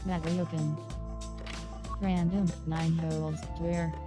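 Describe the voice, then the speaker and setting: A woman's voice: sits low, little pitch movement, measured pace, over music. A woman voicing a game menu announcer, reading out short labels in a neutral, clipped style. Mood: flat, neutral. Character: female game menu voice, flat and measured.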